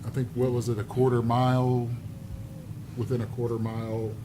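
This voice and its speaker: A man's voice: medium pitch, completely flat delivery, moderate pace, background noise. A man on a planning commission, musing through ideas with a self-deprecating touch at a public meeting.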